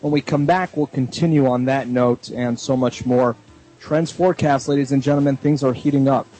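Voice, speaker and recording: A man hosting talk radio, speaking in an energetic, emphatic way. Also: mid-range voice, normal speed, faint music behind, rough recording. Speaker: radio host.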